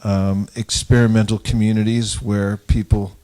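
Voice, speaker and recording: Man, lowish voice, informal spiritual discourse, halting, reflective, explanatory. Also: little pitch movement, mellow. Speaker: religious teacher in a group discussion.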